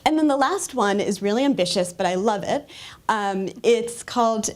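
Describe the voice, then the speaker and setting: A woman's voice: medium pitch, animated, moderate pace. An American woman, a museum educator, giving an upbeat explanatory talk on a conference panel. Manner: upbeat, explanatory, occasional fillers. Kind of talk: presentation talk to an audience.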